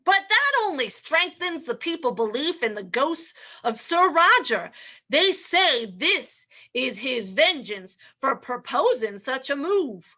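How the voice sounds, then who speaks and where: Woman playing a high-voiced, halting small-town sheriff. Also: big pitch swings, sharp tone, exaggerated voice. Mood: baffled, grave. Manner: hesitant, halting. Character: baffled small-town male sheriff, hesitant, folksy. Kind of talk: old-time radio drama reading. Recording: dull lo-fi sound.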